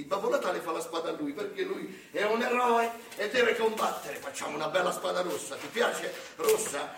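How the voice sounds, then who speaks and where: An actor playing a frazzled, short-tempered Santa Claus in a live comic stage monologue, coaxing sweetly and then shouting. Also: male voice, sits high, vocal fry. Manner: sugary coaxing that tips into shouting. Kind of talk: live theatre comedy monologue. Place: theatre stage. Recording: distant, roomy live stage recording with noise.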